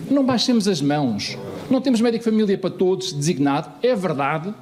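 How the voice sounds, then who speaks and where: A man speaks to a municipal assembly in a high, lively voice. He swings his pitch widely, piling up rhetorical questions and repeated phrases with emphasis. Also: quick pace. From Lisbon, Portugal. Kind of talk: political speech in an assembly.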